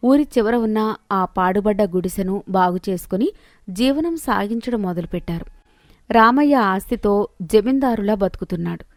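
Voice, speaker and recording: A woman's voice: mid-range voice, lively intonation, normal speed, dry recording, studio quality. A woman narrating a scripted moral story as a storyteller.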